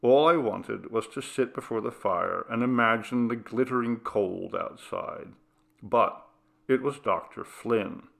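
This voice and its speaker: Slow, gravelly male story reader. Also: medium pitch, fairly bright tone. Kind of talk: scripted ghost story narration.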